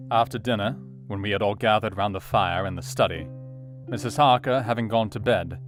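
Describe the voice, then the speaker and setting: Casting: man as a doctor narrating his journal in audio drama, lower-leaning pitch, music bed. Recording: music behind the voice.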